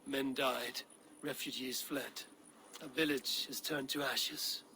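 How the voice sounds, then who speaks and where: An actor playing a grave, level-headed Viking war leader in a video game, speaking in a measured, commanding way. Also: male voice, medium pitch, fairly even intonation, pushed and bright, slight background noise. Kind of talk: dramatic video game acting.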